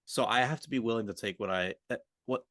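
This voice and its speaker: A man's voice: mid-range voice, fast talker, fairly bright tone, a little hiss or hum. A man co-hosting a podcast, talking in an informal, collaborative, conversational manner. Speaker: podcast co-host. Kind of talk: roleplay and conversational coaching discussion.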